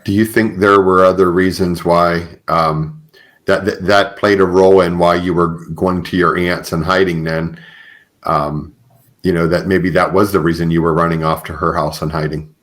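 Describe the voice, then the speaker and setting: A man hosting a podcast, interviewing in a conversational, halting way and rephrasing as he goes. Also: low voice, level tone, a bit bright. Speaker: podcast host.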